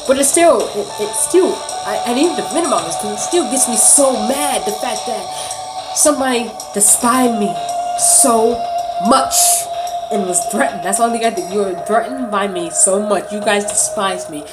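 Casting: woman, 30s, medium-pitched voice, casual vlog rant, emphatic and aggrieved, slangy delivery. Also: moderate pace, over loud music, rough recording. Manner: indignant, emphatic, slangy venting with mimicked quotes. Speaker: vlogger. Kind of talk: casual storytelling rant to camera. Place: small bedroom, indoors. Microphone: phone at arm's length.

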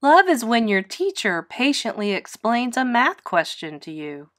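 A woman voicing a loving, patient mother pig, nurturing and expressive, in a storybook read-aloud. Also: fairly high pitch, unhurried.

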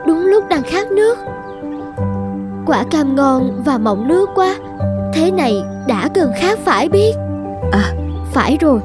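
An actress voicing a gentle, self-sacrificing young peasant mother in a Vietnamese cartoon, speaking tenderly. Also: high-pitched. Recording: loud background music. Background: music.

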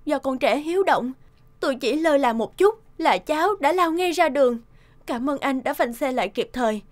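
High-voiced fussing cartoon mother. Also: normal speed. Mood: panicked. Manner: expressive, scolding or fussing. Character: sharp-tongued but caring young mother minding a repair shop. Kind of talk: animated cartoon dubbing. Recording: music behind the voice.

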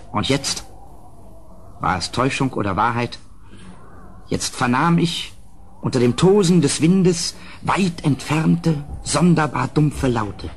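A man playing an anxious, well-mannered houseguest in a gothic horror radio play, with a grave, literary delivery and a husky voice. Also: medium pitch, slight background noise, close to an everyday voice.

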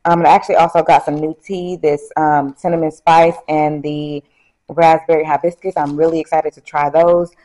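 A woman's voice: sits low, level tone, normal speed, dark tone. A woman in her thirties chatting casually to camera as a vlogger, talking to her viewers like a girlfriend, partly filmed in a parked car on a phone. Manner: chatty, addresses viewers as girl. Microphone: phone camera at arm's length.

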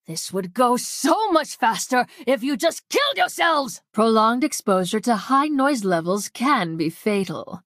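A woman's voice: medium pitch, no echo, studio quality. An actress playing a coldly arrogant female mad scientist villain in a video game, with a clinical, mocking manner and precise diction. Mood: mocking, menacing. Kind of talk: theatrical video game voice lines.

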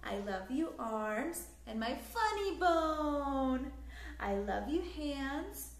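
A woman in her thirties reading a picture book aloud to young children in an expressive, sing-song way, recorded in a living room. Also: sits high, drawn-out words, roomy sound. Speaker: children's story time host. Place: small living room, indoors on a couch.

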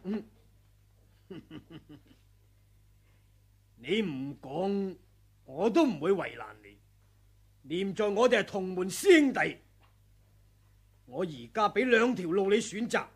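An actor playing a ruthless male senior swordsman in a 1980s radio drama, taunting and menacing, breaking into shouts. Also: high voice, animated, slow pace, pauses often, slightly rough, heavily acted. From Hong Kong.

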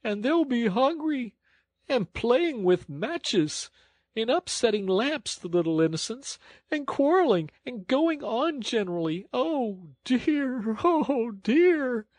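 A man's voice: very high voice, very animated, takes it slowly, a little mellow, dry recording, pitched above the performer's own voice, heavily acted. A man voicing a self-pitying toad who poses as a poor, weeping old-style washerwoman in a storybook, wailing theatrically. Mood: tearful wailing. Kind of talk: storybook character acting.

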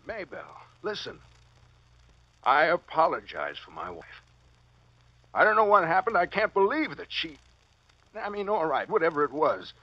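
A middle-aged American head of household, flustered and pleading. His fairly high voice swings widely as he wheedles and stammers. The sound is a dull, dry old radio recording. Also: sing-song, normal speed, pauses often, dry recording. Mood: desperate pleading. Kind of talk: 1940s radio acting. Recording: muffled vintage radio recording.

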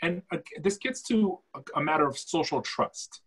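Husky-voiced middle-aged male expert. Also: on the high side, lively intonation, a bit bright. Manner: quick, fact-listing answers. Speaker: health expert panelist. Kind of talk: panel discussion. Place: home room. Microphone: video call.

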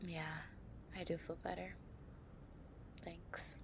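A woman playing a possessive young gang-leader girlfriend, tough but tender, in an intimate, soft-spoken audio roleplay. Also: on the low side, little pitch movement, slow pace, vocal fry, noisy background. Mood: tender, grateful.

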